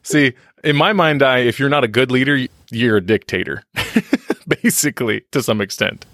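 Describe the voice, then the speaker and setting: A man's voice: mid-range voice, normal speed, a bit bright, no echo, studio quality. A man talking candidly in a podcast interview, reflective and self-deprecating, often restarting his sentences. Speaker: podcast guest on leadership and success.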